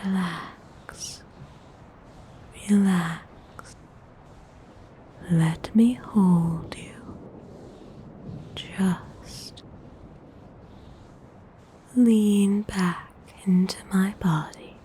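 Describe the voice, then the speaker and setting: A woman playing a gentle, seductive vampire noble in a whispered ASMR roleplay, breathy, soothing and intimate. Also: mid-range voice, steady tone, a little hiss or hum. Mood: soothing, sensual. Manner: slow, soothing, intimate, unhurried.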